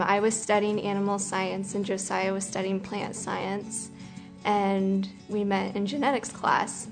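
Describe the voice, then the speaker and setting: A young woman from Nebraska sharing her testimony in a soft, hesitant way with many fillers. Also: medium pitch. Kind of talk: personal testimony. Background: music.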